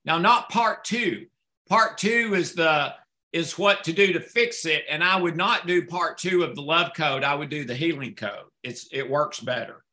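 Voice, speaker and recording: A man's voice: a little high, normal speed, bright, forceful tone. A man hosting a casual live chat, earnest, often repeating words as he starts a sentence. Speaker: author and host.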